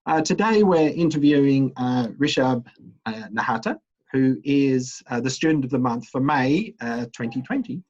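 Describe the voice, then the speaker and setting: Middle-aged male academic host, husky, hesitant delivery, dull muffled audio. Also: mid-range voice, lively intonation, normal speed, a little mellow. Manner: hesitant, many ums and uhs. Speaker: university associate dean interviewing a student. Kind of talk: relaxed interview questions.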